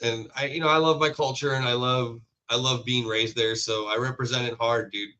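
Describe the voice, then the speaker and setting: A man raised in Hawaii talks casually as a podcast guest, easygoing and chatty, often dropping a dude or man. His voice is bright and forceful at an ordinary pitch. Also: fairly even intonation, fairly quick. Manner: laid-back, chatty, says dude and man. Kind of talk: casual chat.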